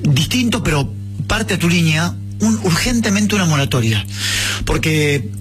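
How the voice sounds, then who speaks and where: A man's voice: a little high, animated, normal speed, soft background music. A man with an Argentine Rioplatense accent hosting a radio interview, chatty and telling personal anecdotes. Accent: Rioplatense Spanish. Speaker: radio host.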